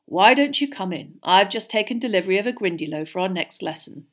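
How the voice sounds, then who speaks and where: A woman voicing a thoughtful, kindly male teacher in a storybook, speaking gently and politely. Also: mid-range voice, animated, fairly quick. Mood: mild curious.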